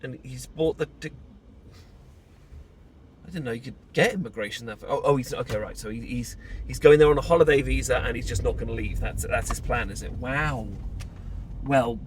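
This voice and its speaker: A man's voice: mid-range voice, normal speed, natural voice. A man with a British accent playing an awkward, rambling young man leaving a bad relationship in an intimate audio roleplay, hesitant and often interrupting himself. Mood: puzzled, incredulous, then playful, bemused. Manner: hesitant, rambling, self-interrupting, chuckling nervously. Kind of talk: intimate boyfriend roleplay audio acting, conversational. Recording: clearly noisy, car and outdoor ambience behind.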